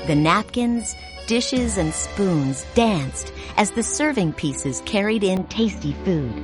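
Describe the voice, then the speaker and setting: A woman narrating a children's read-along storybook in a clear, expressive way. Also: medium pitch, slow. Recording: music playing behind.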